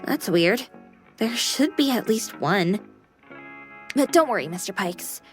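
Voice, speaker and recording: A woman's voice: medium pitch, lively intonation, takes it slowly. A woman voicing a playful young female AI companion in a sci-fi visual novel, polite with a teasing edge. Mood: helpful. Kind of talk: visual novel game voice acting. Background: music.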